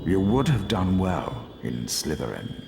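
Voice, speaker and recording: A man's voice: low-pitched, big pitch swings, a little slow, vocal fry, warm tone, echoey room, slight background noise, exaggerated voice. A man voicing an ancient enchanted talking hat in a fantasy game, sly, musing and theatrical.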